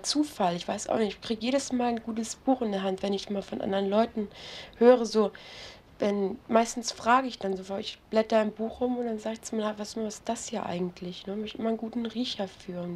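Junge Frau, halliges Tonband aus der Ferne. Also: female voice, mid-range voice, darkish tone. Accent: Berlin German. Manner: hesitant, halting, frequent tag questions. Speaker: interviewee. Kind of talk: candid recorded interview. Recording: roomy, distant 1970s tape recording.

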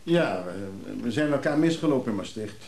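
A man acting in a radio drama as a hesitant, anxious antiques buyer who speaks haltingly. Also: mid-range voice, lively intonation, a little mellow, echoey room, a little hiss or hum, close to an everyday voice. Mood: reserved, polite.

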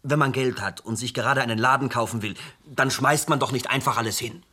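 A man's voice: mid-range voice, fairly quick, pushed and bright, breathy edge. An actor playing a blunt man who insists that his sister did not take her own life, in a radio drama. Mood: defensive. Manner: curt, insistent. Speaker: brother of the dead woman.

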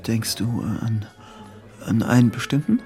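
A man's voice: lowish voice, unhurried, darkish tone, soft background music. An actor playing a lovesick, insistent man in a German radio play, speaking in a hesitant, pleading way. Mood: incredulous, desperate.

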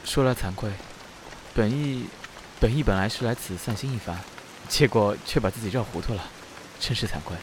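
Man playing an aloof, low-voiced young wanderer. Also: normal speed, slight background noise, lightly acted. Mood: polite reluctance. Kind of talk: Chinese costume audio drama acting.

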